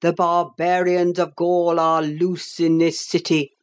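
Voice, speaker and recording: A woman's voice: on the low side, little pitch movement, takes it slowly. A woman voicing a grand, imperious ancient Roman commander in a storybook, stately and formal. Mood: commanding.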